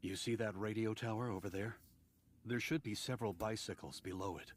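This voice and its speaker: A man's voice: on the low side, fairly quick, slightly rough. A man voicing an earnest, considerate supply convoy worker in an English-language video game. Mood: earnest, grateful.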